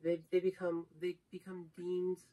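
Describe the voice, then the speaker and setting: A woman's voice: lowish voice, monotone, moderate pace, dark tone. A younger woman thinking aloud in a casual chat with her partner, analytical and reflective, searching for her words. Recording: distant mic.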